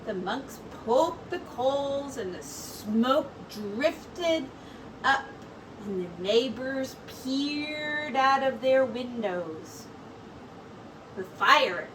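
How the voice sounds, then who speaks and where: A woman teacher reading a children's story aloud in an expressive, deliberate storytelling manner. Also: high-pitched. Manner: expressive, deliberate storytelling with brief asides to listeners. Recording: distant mic with faint background noise.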